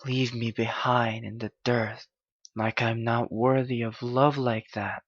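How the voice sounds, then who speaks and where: A man playing a clingy, heartbroken young yandere lover in an intimate ASMR roleplay, halting and pleading in his anguish. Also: mid-range voice, level tone, no echo, highs cut off. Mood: wounded, pleading.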